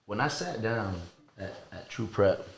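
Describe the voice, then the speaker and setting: A man's voice: on the low side, expressive, unhurried, vocal fry. A man, a coach being interviewed, talking informally and earnestly, repeating phrases for emphasis. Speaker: coach and gym owner, podcast guest. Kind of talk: casual interview chat.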